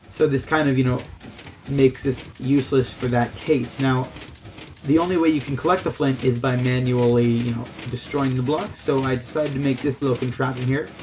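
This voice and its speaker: A man's voice: medium pitch, a little mellow, a little hiss or hum. A man giving a casual, unscripted game tutorial, explaining things in a loose, hesitant way. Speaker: gaming tutorial host.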